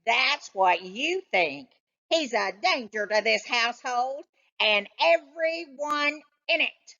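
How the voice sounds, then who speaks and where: A woman's voice: high voice, lively intonation, slow, bright, forceful tone, dull audio, pitched above the performer's own voice, full character voice. A woman reading a children's storybook, voicing a grumpy, suspicious, bookish male cat in a snappish, insistent manner. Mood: alarmed, insistent. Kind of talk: children's storybook read aloud.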